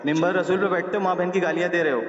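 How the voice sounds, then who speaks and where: High-pitched fast-talking man, echoey call. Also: steady tone. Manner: insistent, confrontational, repeats his demands. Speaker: caller challenging a cleric. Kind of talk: heated phone argument on religion. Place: recorded phone call. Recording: echoey, muffled, distant recorded phone call.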